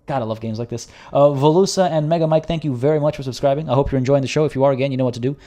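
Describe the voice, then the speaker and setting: A man streaming a video game, chatting casually with viewers in a rambling way, and slightly hoarse, as if losing his voice. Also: mid-range voice, very fast, warm tone. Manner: rambling and chatty, frequent restarts. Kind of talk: casual livestream commentary.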